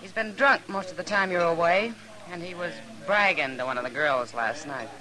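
A woman's voice: on the low side, moderate pace, natural voice, rough recording. Woman acting straight-talking saloon gal, knowing, old western radio play, crowd noise behind. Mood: scornful, confiding. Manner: plain-spoken, knowing. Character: frank, familiar frontier saloon woman.

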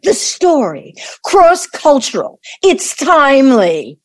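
A woman's voice: high voice, big pitch swings, takes it slowly, heavily acted. A woman acting in an audio play as a defensive, irritated grown daughter, curt, guarded and sarcastic. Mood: irritated, scornful.

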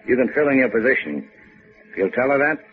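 An American actor playing a cool, wisecracking adventurer in a 1950s radio drama, with a sardonic, slangy delivery. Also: male voice, medium pitch, sing-song, fairly quick, soft background music, close to an everyday voice. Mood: casual scheming. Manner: sardonic, casual drawl with tough-guy slang. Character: wry, cool, wisecracking boat-owning hotel keeper adventurer. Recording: muffled, phone-like old radio recording.

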